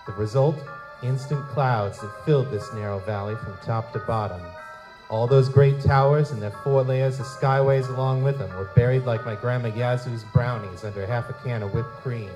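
A man's voice: medium pitch, steady tone, normal speed, close to an everyday voice, rough recording. An actor playing a wry, down-on-his-luck lutin private investigator in a live audio drama, with wisecracking, folksy noir storytelling. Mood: wry, world-weary. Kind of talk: live audio drama, first-person noir narration and dialogue. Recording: music behind the voice.

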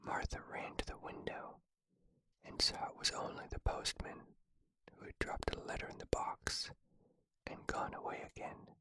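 A reader narrating a story entirely in a deliberate, unhurried whisper. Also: bright, forceful tone. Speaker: audiobook reader.

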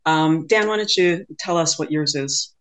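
A woman's voice: sits low, normal speed. A woman hosting an interview, putting questions to her guests in a conversational way. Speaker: interviewer, host.

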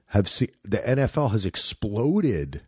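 A man's voice: fairly low pitch, sing-song, a little slow, darkish tone, husky, no echo, clean studio sound. A man in his late forties hosting a casual sports podcast chat, rambling with frequent asides. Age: 40s. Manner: chatty, rambling, many "you know what I'm saying" asides.

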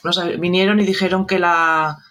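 A man casually telling an anecdote in halting, colloquial speech. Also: high-pitched, little pitch movement, pushed and bright.

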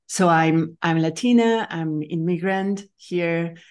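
Low-voiced Nicaraguan woman, thoughtful guest. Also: slow, darkish tone. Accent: Nicaraguan Spanish. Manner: thoughtful, restarts and searches for words. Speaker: guest, leadership coach. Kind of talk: conversational interview.

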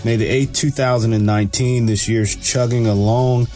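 A man hosting his own radio talk show, sounding a bit stuffy from allergies and talking in a relaxed, rambling way with lots of fillers. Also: mid-range voice, normal speed, music in the background. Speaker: radio show host and law enforcement analyst. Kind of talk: casual solo radio talk.

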